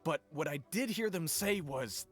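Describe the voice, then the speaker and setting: A shaken, nervous merchant played by a man in a video game. His voice is on the high side for a male, timid and cautioning, with faint music underneath. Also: animated, moderate pace, close to an everyday voice. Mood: unsure, flustered. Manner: anxious, cautioning.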